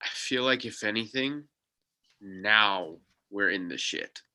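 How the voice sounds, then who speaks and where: A man's voice: fairly low pitch, slow, bright, forceful tone, heavily acted. A man playing a jittery fellow in a script table read. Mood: shell-shocked. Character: jittery man failing to keep everyone calm.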